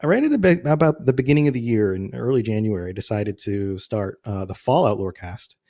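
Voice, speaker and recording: A man's voice: lowish voice, animated, fast, dark tone. A man hosting a podcast, explaining video game lore in a casual, conversational way. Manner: conversational, explanatory, quotes imagined reactions. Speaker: podcast host.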